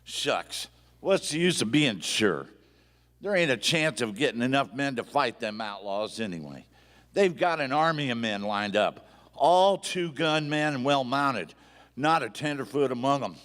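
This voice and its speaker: A man's voice: mid-range voice, lively intonation, unhurried, fairly bright tone. A man playing a hot-tempered, folksy sheriff with an American cowboy drawl in a live radio play. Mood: discouraged. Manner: drawling cowboy slang, exclamatory. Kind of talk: Western radio play acting. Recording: live stage hall, long reverb.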